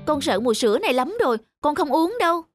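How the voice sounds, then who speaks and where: An impatient grown-up daughter in a cartoon. Her young voice is high, whiny and hurried. Music runs loudly behind it. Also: expressive. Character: impatient young woman brushing off mother's fussing. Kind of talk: cartoon voice acting.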